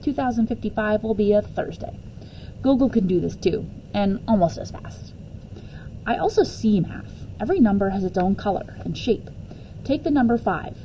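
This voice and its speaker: A woman's voice: mid-range voice, warm tone, slight background noise, low-quality recording. A woman reading a children's novel aloud expressively in the first person, as a storyteller. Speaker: teacher reading a book aloud.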